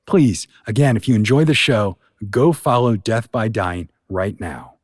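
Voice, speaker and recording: A man's voice: lowish voice, unhurried, no echo, clean studio sound. A man hosting a podcast, introducing a show in an informal, folksy, conversational way. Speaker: podcast host.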